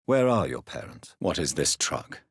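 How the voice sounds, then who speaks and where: A man playing an earnest, stern gentleman doctor of early twentieth-century England, secretly a vampire, in a video game, with formal, measured, intense diction. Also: extremely low pitch, sing-song, creaky, breathy edge, no echo, studio quality, exaggerated voice. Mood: probing, grave. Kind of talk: dramatic video game voice acting.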